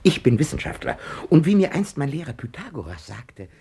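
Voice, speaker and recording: An actor playing a pompous, self-righteous dentist of high principle in a German radio play. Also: male voice, very animated, brisk, warm tone, husky, full character voice.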